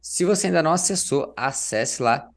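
A man hosting and moderating a podcast, talking in a relaxed, informal way. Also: on the high side, normal speed. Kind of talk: casual podcast chat.

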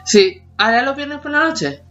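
A woman's voice: high-pitched, very animated, moderate pace, fairly bright tone. Woman in her 40s chatting on a podcast, giggly, dull lo-fi sound with faint music under it. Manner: laughs often, hums snippets of tunes. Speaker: podcast co-host. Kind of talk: casual chat about childhood TV songs.

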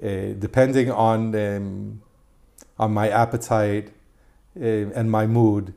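Male guest, middle-aged, Israeli accent, low-pitched and warm, measured speech with restarts. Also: flat delivery, vocal fry. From United States. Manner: measured, slightly halting with restarts. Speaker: guest, tour guide. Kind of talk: casual interview conversation.

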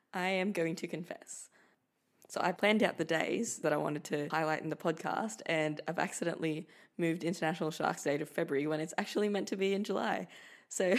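A young woman who speaks Filipino as her first language, hosting her own podcast in a chatty, informal way with light laughter. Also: lowish voice. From Philippines. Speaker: podcast host. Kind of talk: solo podcast monologue. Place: Australia.

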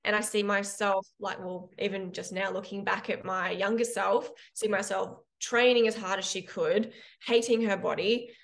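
A woman's voice: medium pitch, steady tone, normal speed, vocal fry, few pauses. A young woman who works as a personal trainer and health coach, speaking frankly and reflectively as a podcast guest, telling her stories with some animation. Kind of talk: conversational podcast interview.

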